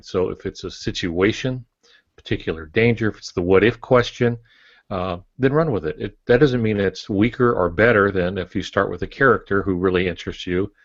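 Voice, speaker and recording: An older man co-hosting a podcast, giving firm opinions in casual talk with pauses mid-thought. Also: sits low, lo-fi sound. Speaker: podcast co-host.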